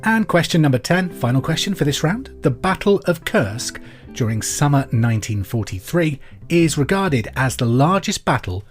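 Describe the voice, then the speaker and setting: A man's voice: on the high side, big pitch swings, normal speed. An Englishman presenting a pub quiz podcast in a chatty, conversational way, as the host. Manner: conversational, clearly repeats questions. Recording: music behind the voice. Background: music.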